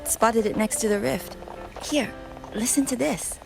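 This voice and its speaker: A woman's voice: on the high side, unhurried, gravelly, over loud music, poor audio. A woman playing an eager young woman in a video game dialogue scene. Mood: intrigued.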